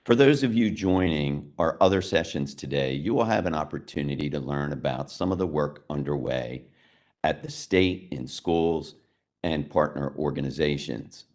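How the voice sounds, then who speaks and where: A man giving a prepared opening speech as a state education official, in an earnest, steady and formal way. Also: rumbling low, normal speed.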